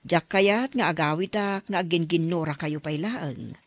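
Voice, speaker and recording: A woman's voice: lowish voice, normal speed, no echo, clean studio sound, lightly acted. A woman playing a concerned middle-aged mother who gives counsel, in an Ilocano radio drama. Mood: firm. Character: concerned mother counselling a quarrelling married couple.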